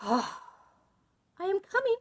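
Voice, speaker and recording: Frightened young storybook wife, woman performing: high-pitched, meek, tremulous pleading, very expressive. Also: normal speed. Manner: high, trembling, stammering pleas. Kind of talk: dramatised fairy-tale storybook reading.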